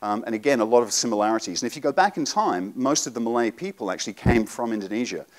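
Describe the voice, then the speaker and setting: A man in his forties giving a live seminar talk to an audience in a conference room, relaxed and explanatory with frequent ums. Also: mid-range voice, quick pace. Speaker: presenter.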